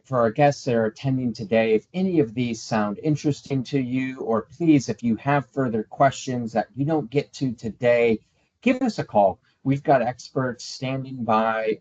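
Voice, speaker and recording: A man's voice: mid-range voice, darkish tone. A man hosting a travel webinar, upbeat and polite, thanking guests and passing on questions. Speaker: webinar host. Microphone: video call. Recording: slightly dull video call audio.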